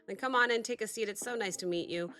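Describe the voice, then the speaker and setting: Excitable woman host, bright voice, recovering cold, soft music underneath. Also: medium pitch, quick pace. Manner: chatty and excitable, rambling with fillers and restarts. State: sick with a cough, recovering voice. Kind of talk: casual chat to camera.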